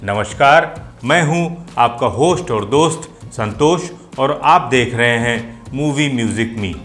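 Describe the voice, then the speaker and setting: A man's voice: mid-range voice, lively intonation, slow pace, a bit bright. A man hosting and explaining things to viewers in video commentary. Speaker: host of a film music show. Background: music playing behind the voice.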